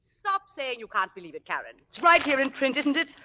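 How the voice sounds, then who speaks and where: In a reverberant old radio play, an imperious middle-aged stage star. Her voice is high for the stage and forcefully bright, with biting, sardonic, theatrical emphasis. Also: big pitch swings, a little fast, a little hiss or hum, heavily acted. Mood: angry, scornful. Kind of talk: 1950s radio drama acting. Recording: old radio broadcast, reverberant, music behind.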